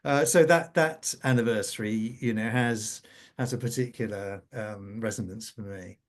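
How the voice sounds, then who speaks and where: Halting, anecdotal older-sounding man. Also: fairly low pitch. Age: middle-aged or older. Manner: halting, repeats and restarts phrases. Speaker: radio drama scriptwriter on a panel. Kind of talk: informal anecdotal conversation at a conference.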